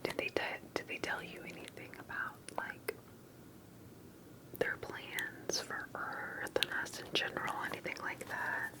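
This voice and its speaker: A woman speaking in a breathy, unvoiced whisper, gently and hesitantly putting questions in an ASMR roleplay interview, with soft unhurried pauses. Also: low-quality recording. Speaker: ASMR performer playing an interviewer.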